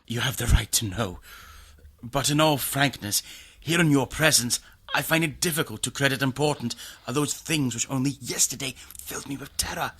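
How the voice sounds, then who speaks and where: Nervous ailing aristocrat, husky yet forceful, overwrought, theatrical, morbidly sensitive, radio drama. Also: male voice, medium pitch, moderate pace. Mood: brooding. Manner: theatrical, overwrought, swings from rapture to gloom.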